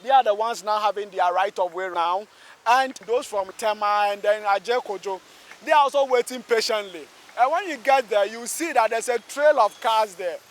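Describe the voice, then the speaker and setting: High-voiced Ghanaian man reporting on location. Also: normal speed. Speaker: radio reporter and interviewer. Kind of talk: on-location radio news interview. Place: outdoors by a busy road underpass.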